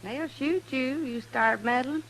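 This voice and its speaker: A woman's voice: sits high, unhurried, fairly bright tone, a little hiss or hum, rough recording. An actress playing a blunt, stubborn Ozark hillbilly woman in an old radio western, with rustic, folksy speech. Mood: worried. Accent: American hillbilly, Ozark. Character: stubborn, worried hillbilly woman of a feuding family. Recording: old radio drama recording.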